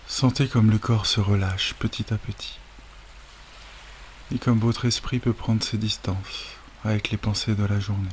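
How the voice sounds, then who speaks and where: A man guiding a sleep meditation as a yoga nidra teacher, speaking calmly and soothingly with long, unhurried pauses. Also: lowish voice. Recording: steady wave noise over the voice. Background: sound of ocean waves.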